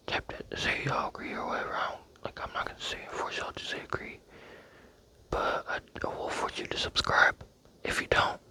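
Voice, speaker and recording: A man's voice: mid-range voice, vocal fry, bright, forceful tone. A young man rambling playfully in a close-miked ASMR whisper, with many fillers. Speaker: ASMR creator.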